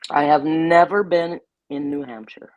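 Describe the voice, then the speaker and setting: A woman chatting as a guest, playful and quick to laugh, telling stories with animation. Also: low voice, fairly even intonation, darkish tone, dry recording, poor audio. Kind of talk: casual chat.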